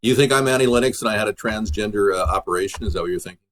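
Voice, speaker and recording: Low-voiced man rambling on a livestream. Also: racing, a bit bright, slight background noise. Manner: rambling, replies to viewers' messages. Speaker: livestream host and amateur musician. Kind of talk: casual livestream chat.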